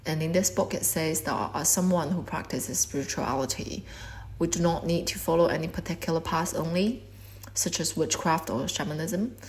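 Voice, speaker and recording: A woman in her twenties whose first language is Korean gives a measured, reflective talk about her art. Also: low-pitched. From China. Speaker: artist. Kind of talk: prepared artist talk.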